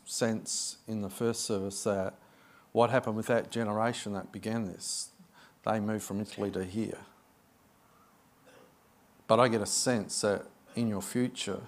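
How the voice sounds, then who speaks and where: A middle-aged Australian man, a guest pastor, preaching a sermon in an effusive, chatty way with personal stories. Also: fairly low pitch.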